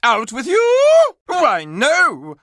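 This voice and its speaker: A man's voice: extremely high pitch, wildly swooping pitch, very slow, fairly bright tone, dry recording, clean studio sound, full character voice. A man playing a flamboyant, gossipy living candelabra in a video game, speaking in dramatic exclamations, mock-archaic turns and coy asides. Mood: excited, scandalized. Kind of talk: animated video game character acting.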